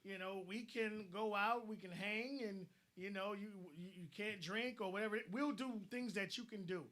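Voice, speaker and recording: High, bright man, blunt advice-giver, repeats himself as he gets going. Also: moderate pace. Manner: blunt, advice-giving, repeats words as he starts a thought. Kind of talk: casual online discussion.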